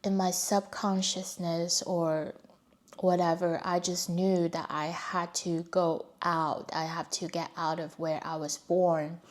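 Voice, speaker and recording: Chinese lady vlogging her story, kinda low voice, slow and thoughtful. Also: a little mellow. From China. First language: Mandarin. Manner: reflective, unhurried, candid. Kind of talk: personal storytelling.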